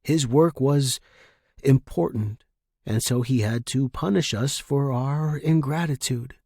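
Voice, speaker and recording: Slow, husky man narrating fiction. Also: medium pitch, dark tone, no echo, studio quality. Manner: measured, deliberate phrasing in short clauses. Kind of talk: dramatic scripted fiction narration, first-person present tense.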